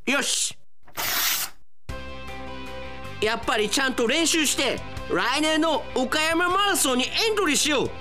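An announcer playing an earnest young foreign runner in a comic radio drama, with a strange sing-song foreign lilt and slow, stretched words that jump up high. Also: male voice, expressive, over music. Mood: determined. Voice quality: odd foreign-sounding lilt, stretched drawn-out vowels. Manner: swooping high exclamations.

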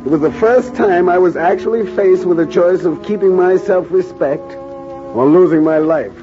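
A man playing a veteran newspaper reporter, narrating his story in a measured, grave way in a 1940s radio drama. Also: high-pitched, over music, natural voice. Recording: muffled 1940s radio broadcast.

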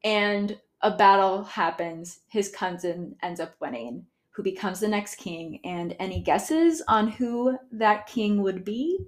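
A woman's voice: medium pitch, animated, takes it slowly, few pauses. A woman with an American accent hosting a history podcast, explaining casually, correcting herself and often laughing. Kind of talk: casual educational talk.

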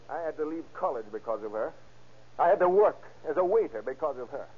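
An actor playing a bitter young man in an impassioned, melodramatic 1930s radio crime drama confession. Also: high voice. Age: young adult. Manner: impassioned, melodramatic, repetitive short phrases. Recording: muffled, lo-fi old radio recording.

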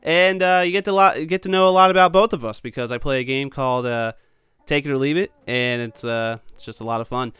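Man, podcast host, sniffly from a cold, chatty, clear voice, dry close sound. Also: mid-range voice, fairly quick, fairly bright tone, dry recording. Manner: upbeat, chatty, many ums and uhs. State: getting over a cold, a bit sniffly. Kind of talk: casual podcast chat.

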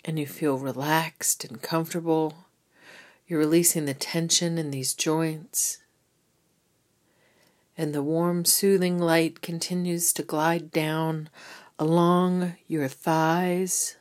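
A woman gently leading a guided meditation with soothing, unhurried instructions. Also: sits low, flat delivery. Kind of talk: guided body scan meditation.

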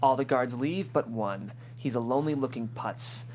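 A woman's voice: rumbling low, moderate pace, a little mellow, slight background noise. A woman narrator reading screenplay stage directions at a table read.

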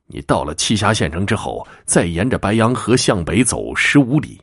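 A male storyteller acts out a friendly merchant from a folk tale. He confides and explains in a folksy, measured way, his low voice moving freely up and down in a dry, close sound. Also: very animated, creaky, breathy edge, no echo, exaggerated voice. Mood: explaining. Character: friendly merchant Mr. Fan, confiding fellow townsman giving directions.

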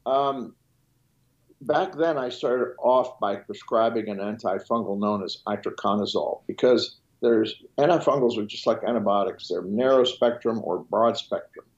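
A man's voice: on the low side, moderate pace, lo-fi sound. A physician whose first language is French, explaining in a podcast interview, restarting and listing as he goes. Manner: explanatory, with frequent restarts and trailing lists. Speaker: physician guest.

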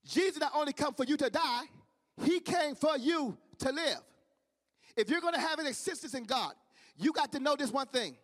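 A man's voice: very high voice, fairly bright tone. A man with a Midwestern accent preaching a sermon with fervent, repetitive cadence. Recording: long reverb of a large hall.

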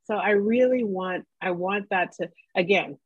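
A woman's voice: medium pitch, unhurried, breathy edge, dry recording. A middle-aged woman co-hosting a casual podcast chat, candid and emphatic, swearing offhandedly. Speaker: podcast co-host. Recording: dull, dry lo-fi sound.

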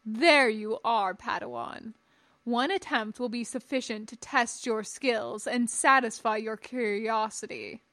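An imperious, scornful Jedi master voiced by a woman for a storybook reading. He speaks slowly and formally, pronouncing each judgment as a stern lecture. The sound is close and dry. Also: on the high side, a bit bright, dry recording. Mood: haughty, lecturing. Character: tall imperious old Jedi master, scornful, pompous man.

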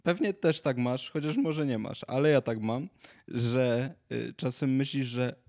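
A young man with a mid-pitched voice talks casually as a podcast co-host. He is halting, doubling back on words and restarting his sentences as he tells stories. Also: a little mellow. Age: 20s. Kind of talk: casual chat, anecdotes.

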